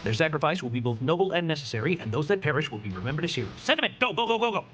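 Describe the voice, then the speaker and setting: An actor playing a brash, self-important, scheming senator in a video game, excitable and slangy. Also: male voice, fairly high pitch, rapid-fire, faint music behind. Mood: excited, urging. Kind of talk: video game cutscene acting.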